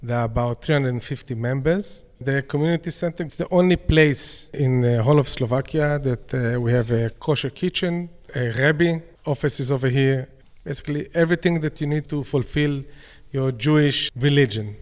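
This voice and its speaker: A man with an Israeli accent, answering interview questions in a plain, slightly halting way with some fillers. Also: mid-range voice, long reverb tail. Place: community centre dining room.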